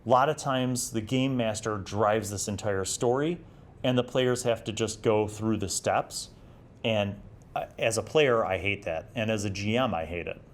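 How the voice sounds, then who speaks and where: Low-pitched man in his forties, casual commentary. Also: moderate pace. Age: 40s. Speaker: host of a retro gaming review series. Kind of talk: casual commentary mixed with reading aloud from an article.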